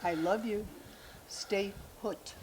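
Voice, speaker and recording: A woman's voice: medium pitch, slow pace, a little mellow, a little hiss or hum, close to an everyday voice, poor audio. Blunt, exasperated older mother played by a woman. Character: exasperated, sharp-tongued older mother of adult children. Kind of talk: staged reading of a play.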